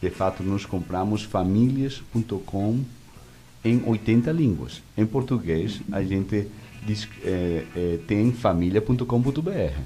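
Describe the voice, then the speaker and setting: A man with a Venezuelan accent and native Spanish, answering interview questions conversationally in halting speech, searching for words. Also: fairly low pitch, animated, dark tone. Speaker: interview guest, media executive.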